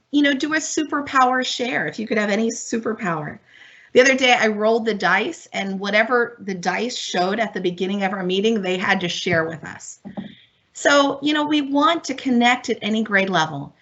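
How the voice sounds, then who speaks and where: Upbeat higher-voiced woman, spanish her first language, cheering teachers on in a webinar, muffled call audio. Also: a bit bright. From Miami, United States. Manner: upbeat, encouraging, addresses teachers directly. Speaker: guest presenter for teachers. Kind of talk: webinar presentation. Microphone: video call.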